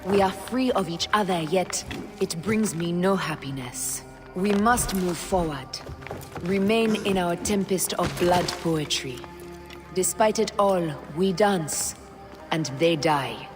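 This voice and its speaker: An actress playing a grim, resolute woman assassin in a game cutscene, speaking in a cold, low, often whispered manner. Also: takes it slowly, over music. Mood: solemn.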